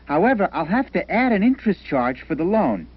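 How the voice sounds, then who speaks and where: An earnest cartoon banker, musing aloud in a businesslike way. His voice sits fairly high and swings up and down with lively inflection. It has the dull sound of an old educational film soundtrack. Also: a little fast, slightly rough, exaggerated voice. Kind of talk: 1970s educational cartoon acting.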